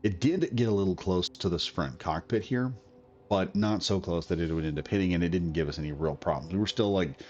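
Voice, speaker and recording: A man's voice: low-pitched, brisk, a little mellow, muffled recording. A man recovering from a cold, coughing now and then, giving a rambling, chatty commentary on a game build. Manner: rambling, chatty, self-correcting. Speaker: gaming video creator.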